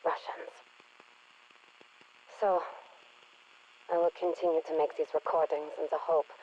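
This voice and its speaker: An actress playing a rogue intelligence operative in a game audio log, speaking with dry deadpan humour and deliberate phrasing through a radio filter. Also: female voice, low-pitched, highs cut off. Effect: radio transmission filter. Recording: hissy radio transmission with static.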